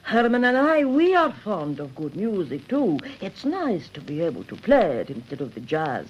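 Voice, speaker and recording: A woman's voice: lowish voice, sing-song, normal speed, breathy edge, highs cut off. An actress playing a kindly, motherly immigrant shopkeeper's wife, coaxing and fond in a radio drama. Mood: kindly, encouraging.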